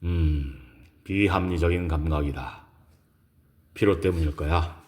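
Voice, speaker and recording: A man voicing a coolly rational professor who is privately unsettled by love, in a hushed, halting, introspective way for an audio drama. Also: extremely low pitch, voice pushed down. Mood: puzzled, dismissive.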